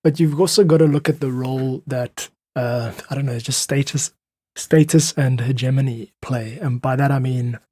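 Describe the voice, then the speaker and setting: A man's voice: mid-range voice, fairly even intonation, normal speed, warm tone, dry recording. A South African man speaking earnestly and thoughtfully in a reflective interview, voicing his opinions as a guest. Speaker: podcast guest, independent musician.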